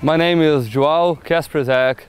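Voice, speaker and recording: A young man from Brazil, an international student, speaking relaxed and a little hesitant in an outdoor interview. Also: fairly high pitch, measured pace, soft background music. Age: young adult. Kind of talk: casual interview testimonial. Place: outdoors on campus.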